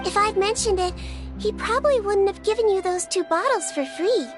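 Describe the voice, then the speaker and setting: A little nonhuman nurse from a video game with a high, girlish voice. The figure is gentle and observant, speaking in a measured, tactful way with music behind. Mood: calm, tactful. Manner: measured, matter-of-fact, polite. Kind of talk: video game character dialogue. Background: background music.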